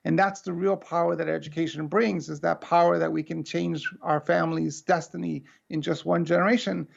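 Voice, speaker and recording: An American man speaks over a video call, his voice strongly gravelly yet pitched a little high. The call audio is muffled and lo-fi. He talks to the audience in an earnest, conversational way. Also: steady tone, fairly quick. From United States. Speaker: keynote speaker. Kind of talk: remote keynote talk.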